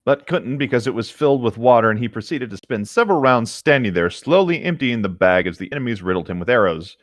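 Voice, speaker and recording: A man's voice: medium pitch, lively intonation, quick pace, dry recording, clean studio sound. A man co-hosting a podcast, reading stories aloud and chatting casually. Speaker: podcast co-host.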